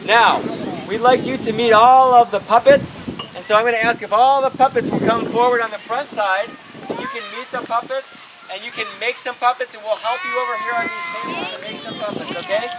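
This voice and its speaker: A woman's voice: on the high side, brisk. A woman leading a children's lesson outdoors in simple questions and answers, repeating and affirming what they say. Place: outdoors at a public children's event. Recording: loud outdoor crowd and traffic din. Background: crowd chatter and vehicle noise.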